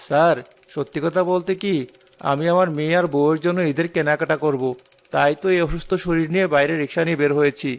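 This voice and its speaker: A man's voice: on the high side, fairly even intonation, quick pace, gravelly. A man voicing a poor, ailing rickshaw puller in a cartoon drama, an earnest and caring family man. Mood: humble.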